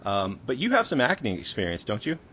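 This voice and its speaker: A man's voice: medium pitch, wildly swooping pitch, a little fast, a bit bright, husky, highs cut off. A man working as a podcast interviewer, asking questions in a conversational style.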